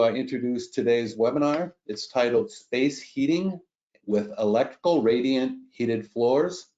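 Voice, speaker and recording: A man with a head cold hosting an online webinar, stuffy and halting, with many fillers. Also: medium pitch, darkish tone, highs cut off. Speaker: webinar host.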